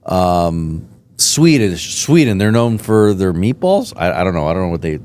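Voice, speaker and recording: An American man hosting a podcast interview, chatty and animated, with many restarts. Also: low-pitched, normal speed, slight background noise. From United States. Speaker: podcast host. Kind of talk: casual interview chat. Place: podcast studio.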